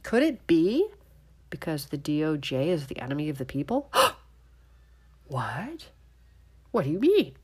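A woman in her thirties, congested and nasal, hosting a casual opinion monologue with loud outbursts and muttered asides. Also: low-pitched, huge pitch swings, unhurried, pauses often, husky. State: congested, sniffling. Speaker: podcast host.

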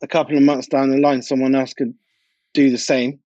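A man's voice: medium pitch, little pitch movement, a little fast, mellow, no echo. Guy, producer telling stories in a casual interview, repeats bits for emphasis, kinda lo-fi and dry. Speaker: DJ and music producer being interviewed. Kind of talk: casual interview chat, anecdotal.

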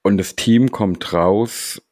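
A man co-hosting a casual podcast chat, calm and matter-of-fact. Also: lowish voice, takes it slowly, no room sound, clean studio sound. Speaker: podcast co-host.

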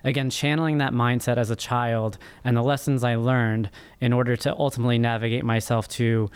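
A man in his thirties with a smooth, clean voice at an average pitch answers as a podcast guest. He sounds earnest and measured, often halting between phrases as he tells his own story. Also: little pitch movement. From born in Egypt, immigrated as a small child. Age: 30s. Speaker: podcast guest, first-time author. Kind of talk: interview answers, personal storytelling.

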